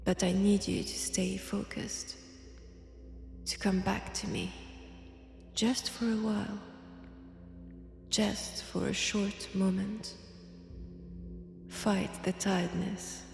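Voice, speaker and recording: Female vampire, acted by a woman, French accent, very slow, gravelly fry, soft taunting whispers, condescending, seductive menace, intimate audio drama. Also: medium pitch, flat delivery, faint music behind. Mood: coaxing, commanding.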